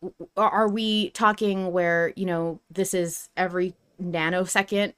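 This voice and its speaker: A woman's voice: lowish voice, steady tone, measured pace, fairly bright tone, clean tone. A woman hosting a podcast in casual, informal discussion. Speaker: podcast host.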